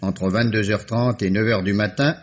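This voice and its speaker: A man's voice: lowish voice, fairly even intonation, moderate pace, natural voice. An actor playing an eager, deferential police officer reporting to his superior in a French radio mystery. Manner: apologetic, eager. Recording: muffled vintage radio recording.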